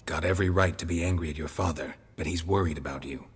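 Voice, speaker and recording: A stoic monster hunter for hire in a video game. The American voice is extremely low and heavily husky, terse and deadpan, ringing in a long reverb. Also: male voice, quick pace. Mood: measured, insistent. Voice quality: very gravelly, husky rasp.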